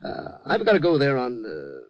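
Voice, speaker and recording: Husky, fussy undertaker. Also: male voice, mid-range voice, wildly swooping pitch, normal speed, no room sound, dull audio. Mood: stiff, proper. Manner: hesitant, hemming, fussily polite. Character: nervous, proper funeral director guarding his firm's dignity. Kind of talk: 1950s radio drama acting.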